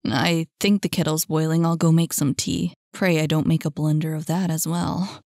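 A woman's voice: low-pitched, level tone, moderate pace, a little mellow, no room sound, studio quality. A woman reading a storybook and voicing a nervous, self-doubting young man who speaks hesitantly. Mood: flustered.

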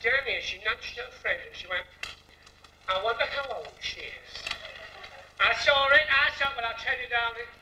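A man's voice: extremely high pitch, normal speed, pushed and bright, a little hiss or hum, heavily acted. A man playing a brash, saucy older woman, a pantomime dame, with cheeky, declamatory patter that bosses and coaxes the audience. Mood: cheeky, confiding. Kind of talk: theatrical music-hall pantomime patter to an audience. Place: theatre stage with painted scenery. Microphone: stand microphone, picked up from the auditorium. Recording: echoey theatre, distant old videotape sound.

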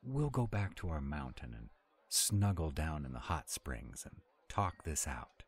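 A very low, swinging male voice for a roleplay audio acted by a man: a grumpy were-dragon cook who doubts himself. He grumbles defensively, with tenderness under the gruffness. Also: sing-song, measured pace, heavily acted. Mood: pleading, tender.

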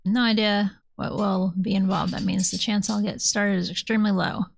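A woman's voice: mid-range voice, level tone, moderate pace, vocal fry, slight background noise. A woman streamer chatting casually with viewers while she plays, rambling and self-deprecating. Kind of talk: casual chat while gaming.

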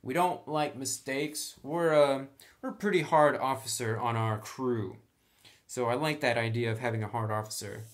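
A man's voice: mid-range voice, normal speed. A man hosting a tabletop game tutorial, explaining things step by step in a casual, conversational way. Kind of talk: casual step-by-step tutorial, partly reading rules aloud.

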